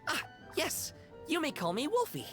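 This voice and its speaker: An actress playing a sleepy, muddled young female concierge in a video game, polite and earnest, with dreamy, halting, theatrical storytelling. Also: sits high, huge pitch swings, a bit bright, heavily acted. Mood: apologetic, polite. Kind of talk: scripted video game character dialogue. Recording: game music behind the voice.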